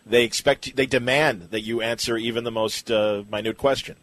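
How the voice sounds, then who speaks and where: A man's voice: medium pitch, fairly even intonation, normal speed, a bit bright, no echo. A man hosting a podcast interview in a casual, easygoing, agreeable conversational way.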